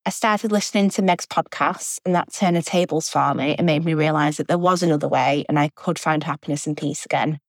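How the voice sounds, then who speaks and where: A young woman speaks in an earnest, reflective tone. Her voice sits low, and the recording is dry and close. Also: a little fast, few pauses, no echo. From England. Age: 20s. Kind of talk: recorded personal message.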